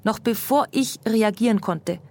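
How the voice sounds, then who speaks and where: A woman's voice: a little high, measured pace, mellow. An actress playing an agitated female eyewitness, telling what she saw in a colloquial, insistent radio drama monologue. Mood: indignant, intense.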